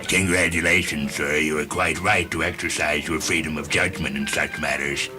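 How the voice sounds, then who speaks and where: A man's voice: rumbling low, steady tone, normal speed, voice pushed down. A man voicing a fat, smug, pompously courteous man with flowery speech in a story reading over music. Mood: smug approval. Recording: music loud behind voice.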